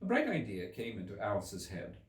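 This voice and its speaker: A man's voice: fairly low pitch, wildly swooping pitch, a little fast. A man reading a children's classic aloud as scripted narration. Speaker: storybook reader. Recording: roomy, distant microphone.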